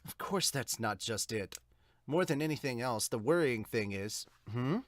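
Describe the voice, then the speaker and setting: Man playing a low, stern, formal academy head. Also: expressive, no room sound, natural voice. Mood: grave. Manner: formal, measured, commanding.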